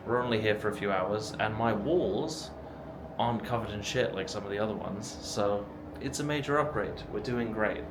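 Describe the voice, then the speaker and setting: Wry young man vlogging by a noisy road. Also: lowish voice. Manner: wry, dry, self-deprecating asides. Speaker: travel vlogger. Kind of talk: casual travel vlog narration. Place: outdoors on roadsides and streets, then an indoor hotel room. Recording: loud traffic and street noise.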